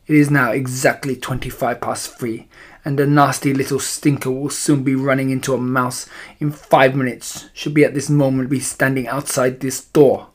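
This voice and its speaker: Male narrator as evil storybook witch, imperious, malevolent, slow emphatic delivery, broken grammar. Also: medium pitch, level tone, close to an everyday voice. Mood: menacing. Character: imperious, malevolent female Grand High Witch plotting against children.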